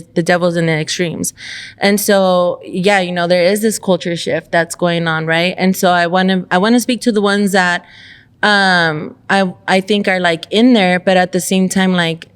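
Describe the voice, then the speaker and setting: A woman's voice: lowish voice, steady tone, moderate pace, smooth. A woman from El Salvador reflecting on success and faith in a casual podcast chat, thoughtful and full of fillers and restarts. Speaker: podcast co-host.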